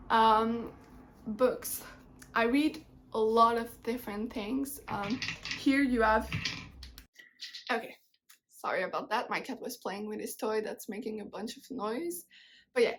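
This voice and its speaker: A young woman from Quebec whose first language is French talks in English with a Québécois French accent, chatting loosely to camera about books from her home. Her voice sits a little high, and she fills pauses with plenty of ums and restarts. A faint hiss runs under the recording. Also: fairly bright tone. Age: 20s. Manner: chatty, many fillers and restarts. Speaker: book vlogger. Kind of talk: casual chat to camera. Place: home room.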